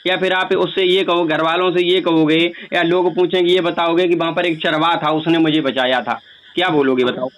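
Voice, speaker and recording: A man's voice: a little high, little pitch movement, fairly quick, a bit bright. A man arguing on a recorded phone call in an insistent, lecturing way, pressing his point with repeated rhetorical questions. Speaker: speaker who performs religious stories on stage, on a call. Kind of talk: argumentative phone conversation.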